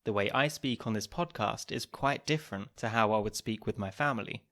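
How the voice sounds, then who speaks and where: A man hosting a podcast, narrating in a measured, explanatory way. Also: lowish voice, fairly bright tone. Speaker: podcast host.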